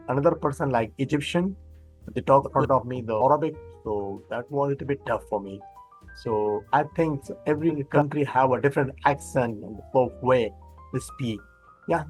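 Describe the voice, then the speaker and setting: A man's voice: mid-range voice, rough recording. A man in his thirties from Pakistan, hosting an interview over a headset from a room with bookshelves, asking plain, loosely worded questions. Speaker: host. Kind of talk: casual interview over video call. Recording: faint background music.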